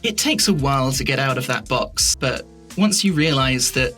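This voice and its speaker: A man's voice: mid-range voice, animated, moderate pace, sharp tone, faint music behind. A man speaking as a podcast guest in casual interview chat about his creative work, reflective and informal, often rephrasing mid-thought. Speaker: podcast guest, fantasy audio drama creator.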